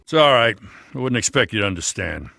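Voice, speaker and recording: Weary American cop, lieutenant rank, low register, blunt honest delivery, game drama, dry studio sound. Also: male voice, expressive, fairly quick, gravelly, sharp tone, no room sound, full character voice. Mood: weary, earnest. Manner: plain-spoken, earnest, colloquial. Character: weary, principled male police lieutenant, a father. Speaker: voice actor. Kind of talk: video game dramatic dialogue.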